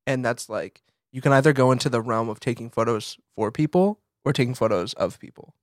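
An American man with a mid-pitched voice talks casually as a podcast co-host. The sound is dry and close, and he explains things like an animated storyteller, loose and slangy. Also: brisk, no echo.

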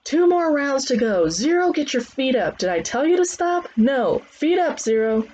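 A woman voicing a loud, foul-mouthed, demanding old combat trainer who barks commands in an audiobook. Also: medium pitch, expressive, moderate pace, lo-fi sound, a little hiss or hum, lightly acted. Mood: barking, impatient. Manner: barking commands. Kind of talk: acted audiobook dialogue.

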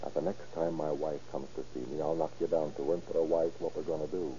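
A man's voice: extremely low pitch, level tone, fast, mellow. An actor playing a cocky, scheming American convict with pushy, slangy tough-guy talk in an old radio crime drama. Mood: scheming, persuasive. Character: cocky, scheming male convict plotting a jailbreak. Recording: dull, noisy old radio recording.

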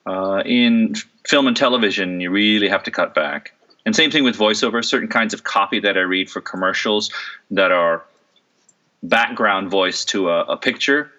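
Deep-voiced man, bright and forceful. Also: normal speed, lo-fi sound. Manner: thoughtful, many fillers and restarts. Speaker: voice actor and stage actor, interview guest. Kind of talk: relaxed interview conversation.

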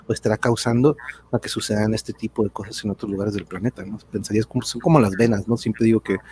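A man's voice: on the low side, a little mellow, slight background noise. A man hosting a casual livestream chat in a rambling way, often chuckling. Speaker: host.

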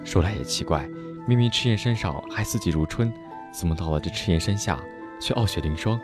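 A man's voice: sits low, fairly quick. A man playing a playful young swordsman hero. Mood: serious. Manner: teasing, lively, expressive. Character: young male martial-arts hero, cheerful and persistent. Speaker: radio drama actor. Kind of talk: amateur wuxia radio drama acting. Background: music score underneath.

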